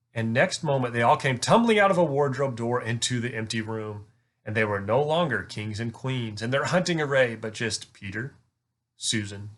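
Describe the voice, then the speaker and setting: A man reads a storybook aloud as narrator, with a middle-pitched voice. He delivers scripted narration for a children's tale. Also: fairly bright tone. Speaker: reader.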